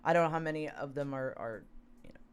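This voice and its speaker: Brief: woman co-host, hoarse from a long talk, casual and rambling, fillers and throat clearing. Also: low voice, fast. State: hoarse, losing voice. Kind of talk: casual chat, reading news aloud.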